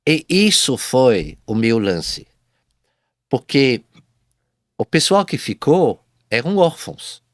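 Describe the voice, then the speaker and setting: A man speaking Portuguese as a second language, a guest telling his business story in a halting way, with many repeated false starts. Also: mid-range voice, big pitch swings, dry recording, studio quality. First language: not Portuguese. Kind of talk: casual interview storytelling.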